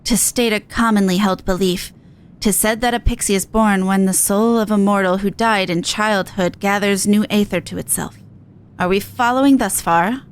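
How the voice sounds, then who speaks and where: A woman's voice: medium pitch, moderate pace, soft background music, lightly acted. A woman voicing a learned magical scholar in a video game, lecturing in a formal, pedantic way. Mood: scholarly, thoughtful. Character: learned, unhurried magical scholar and master creature. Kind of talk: video game quest dialogue read aloud.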